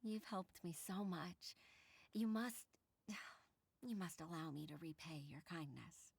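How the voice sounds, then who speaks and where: A woman's voice: on the low side, fairly even intonation, slow, vocal fry, slightly rough, heavily acted. A woman voicing an earnest, humble grown secretary and mother in a video game, formal and deferential in manner. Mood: grateful, impressed. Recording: music behind.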